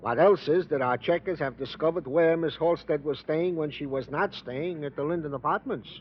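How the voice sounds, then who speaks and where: A man as a fussy, higher-voiced police sergeant. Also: lightly acted. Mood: ornate, self-pleased. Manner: florid, mock-formal, deliberately ornate. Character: wordy, fussy, fatherly male police sergeant. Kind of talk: 1950s radio character acting. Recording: muffled, noisy old radio recording.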